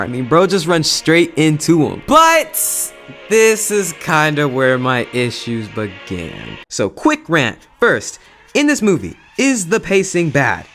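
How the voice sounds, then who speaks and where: A man's voice: on the high side, fairly bright tone. A young adult man giving a casual, enthusiastic and emphatic video movie review in slangy language. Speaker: YouTube reviewer.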